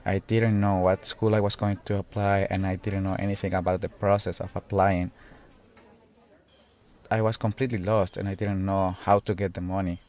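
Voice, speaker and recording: A young man of nineteen speaks slowly and earnestly. His voice sits low and stays almost level, rising and falling very little. He pauses often in the middle of his thoughts. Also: flat delivery, darkish tone. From El Salvador. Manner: halting, earnest, pauses often mid-sentence. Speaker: student. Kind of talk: personal testimony in a documentary video.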